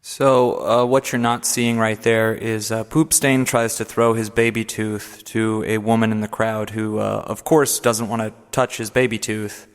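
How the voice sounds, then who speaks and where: A man narrating his comedy radio play in a deadpan, halting way. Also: fairly low pitch, clean tone, in a large hall. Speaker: narrator and host.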